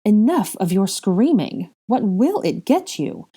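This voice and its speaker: A woman's voice: low-pitched, sing-song, normal speed, warm tone. A woman voicing a cruel, gloating villainess witch in an audiobook story, with taunting, sneering delivery. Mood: mocking, gloating.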